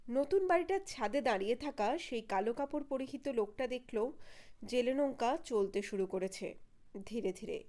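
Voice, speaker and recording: Female narrator for detective audio fiction, fairly high voice, dramatic scripted storytelling. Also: lively intonation, moderate pace, darkish tone.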